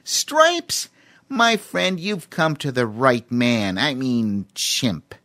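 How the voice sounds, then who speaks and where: A man voicing a boastful young male chimpanzee with a squeaky, brash manner in a storybook reading. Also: very animated, extremely slow, bright, forceful tone, heavily acted.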